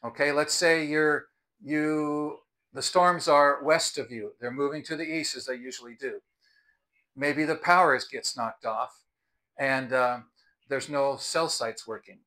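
A man's voice: a little high, unhurried, sharp tone. An American man in his sixties talking to camera in an earnest, advisory way, explaining things as a video host. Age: 60s. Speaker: video host and product reviewer. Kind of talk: explanatory vlog talk to camera. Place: outdoors on a house's front porch. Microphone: clip-on lapel mic.

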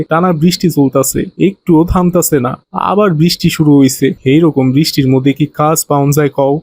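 Man acting a high-voiced poor village father, Bangladeshi accent. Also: darkish tone, natural voice. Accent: Bangladeshi colloquial Bengali. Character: poor, pious, kind-hearted village father. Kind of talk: cartoon voice acting.

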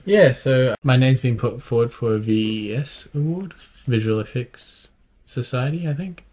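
A man of about thirty talking casually in a modest, low-key way. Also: moderate pace, a little mellow. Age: around 30. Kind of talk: casual chat. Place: living room and outdoor cafe. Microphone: camera at arm's length. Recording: handheld camera with faint background noise.

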